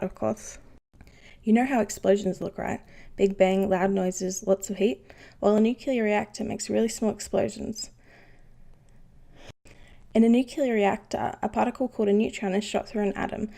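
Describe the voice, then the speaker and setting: A woman's voice: medium pitch, steady tone, moderate pace, gravelly, mellow. A woman playing a knowledgeable, unflappable ship's engineer who explains things patiently in an educational cartoon. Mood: patient explaining. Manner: patient, instructive. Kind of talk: scripted educational explanation in animation.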